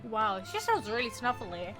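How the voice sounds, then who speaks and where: A woman's voice: fairly high pitch, very animated, a little slow, low-quality recording. A woman giving casual, chatty, reactive commentary on a game playthrough, with slangy asides. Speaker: gaming video creator. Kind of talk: casual game playthrough commentary, reading dialogue choices aloud. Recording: lofi music behind.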